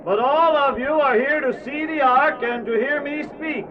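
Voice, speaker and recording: An actor playing an earnest, fervent preacher warning of doom in a solemn, declamatory Bible radio drama. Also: male voice, very high-pitched, moderate pace. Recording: muffled old cassette, distant, faint hiss.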